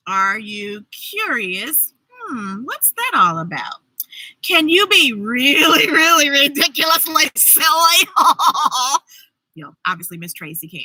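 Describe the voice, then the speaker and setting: Female kids' storytime host: very bright, forceful voice, unhurried pacing, playful and exuberant delivery. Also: high voice, dry recording, poor audio. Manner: exuberant, playful, sound effects and drawn-out words. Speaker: children's storybook reader and host. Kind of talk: animated read-aloud for children with chatty asides.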